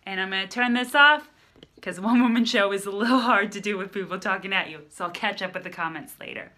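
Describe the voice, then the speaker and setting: An energetic woman opens her live improv stream with a bright, sharp voice. She speaks straight to her viewers in an upbeat way. Her pitch sits in the middle for a woman. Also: fast talker. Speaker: improv comedy show host.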